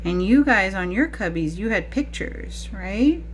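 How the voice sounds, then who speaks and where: A woman's voice: medium pitch, animated, unhurried, slight background noise. A woman preschool teacher talking to young children during a picture-book read-aloud, with frequent questions in a teacherly way.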